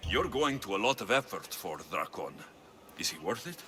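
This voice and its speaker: A man's voice: fairly low pitch, very animated, normal speed, sharp tone, slight background noise. A man acting a capable, sardonic Greek mercenary in a video game cutscene, with a dry delivery. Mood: curious, dry. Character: wry, capable male Greek mercenary for hire.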